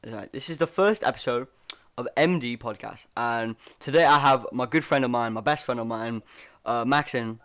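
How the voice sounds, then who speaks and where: A man's voice: mid-range voice, normal speed, a bit bright, clean tone. A young man hosting a casual podcast chat, rambling and laughing as he talks, full of "bro" and "like". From Wales. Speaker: podcast host.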